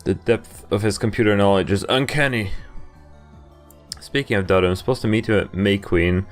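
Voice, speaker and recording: Male playthrough narrator, slightly deep, reading visual novel text with some drama, faint music behind. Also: normal speed. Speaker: video game playthrough host. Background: game music.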